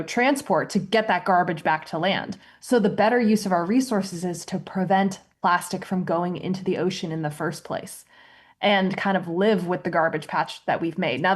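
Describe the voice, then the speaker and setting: A woman podcast host explaining science in a conversational, informal and emphatic way. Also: lowish voice.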